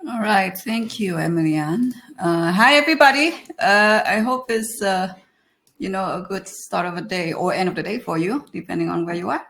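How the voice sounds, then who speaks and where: A woman's voice: mid-range voice, normal speed, creaky, a bit bright, slight background noise. A woman in her thirties from Vietnam with a Vietnamese accent, giving a webinar talk as a design leader, with many fillers and restarts. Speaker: guest speaker, design leader.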